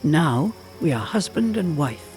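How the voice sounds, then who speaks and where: A man's voice: a little high, big pitch swings, unhurried, darkish tone, faint music behind. A man voicing a commanding undead corpse bride. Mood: solemn. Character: undead female corpse bride, solemn and demanding.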